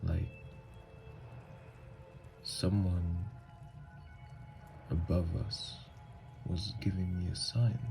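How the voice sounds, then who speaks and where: A man playing a gentle, haunted, newly-turned vampire lover in an ASMR audio drama, speaking in hushed, halting, hesitant phrases. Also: deep voice, flat delivery, vocal fry, over music, heavily acted. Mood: wistful, awed.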